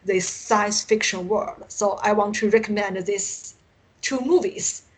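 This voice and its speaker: A woman's voice: mid-range voice, measured pace, rough recording. A woman with a Chinese accent, a native Mandarin speaker, talking casually as an interview guest and often searching for words. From China. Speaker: guest, science fiction writer.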